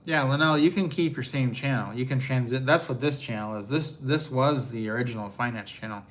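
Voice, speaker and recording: A man's voice: medium pitch, a little fast. Easygoing older man chatting on a live stream. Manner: easygoing, chuckles often. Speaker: co-host of a travel channel. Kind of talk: casual live stream chat.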